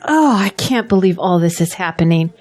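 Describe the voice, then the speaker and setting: An actress playing an anxious, fretful pastor's wife in an audio drama, worried and emotional. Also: female voice, on the low side, animated, moderate pace, darkish tone. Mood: troubled.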